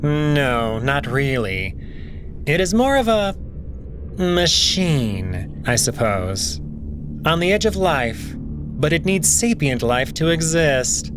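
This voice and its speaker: A man voicing a young male alien prince and diplomat, speaking in a measured, formal, unhurried way. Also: on the high side, sing-song, over music. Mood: thoughtful.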